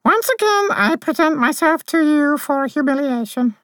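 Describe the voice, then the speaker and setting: A man voicing a small, gloomy female faerie warrior who speaks in a flat, dreamy monotone with theatrical gloom. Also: very high voice, normal speed, dry recording, pitched above the performer's own voice.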